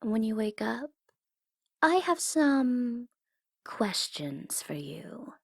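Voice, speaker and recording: Smug female supervillain for ASMR roleplay, played by a woman: highish voice, unhurried, theatrical taunts, teasing. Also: very animated, no room sound, clean studio sound, full character voice. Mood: menacing, teasing. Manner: taunting, theatrical, teasing, drops into breathy whispers. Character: smug tsundere female supervillain gloating over her captive hero. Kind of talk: ASMR audio roleplay, theatrical villain monologue.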